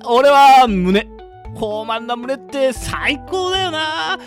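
A man playing a boisterous, teasing high school boy in an amateur radio drama. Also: takes it slowly, soft background music, heavily acted. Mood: cheeky. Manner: brash, teasing.

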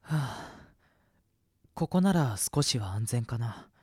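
A man voicing the gentle, cautious ghost of a sixteen-year-old boy in a radio drama, earnest and reassuring. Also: medium pitch, lively intonation, slow pace, husky, no room sound, clean studio sound. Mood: gentle, explanatory. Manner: soft-spoken, earnest, reassuring.

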